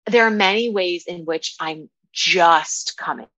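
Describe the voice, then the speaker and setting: A woman speaking as a guest coach in a reflective, conversational interview, often halting as she thinks aloud. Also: lowish voice, fairly bright tone, no echo. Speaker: podcast guest, dating and relationship coach.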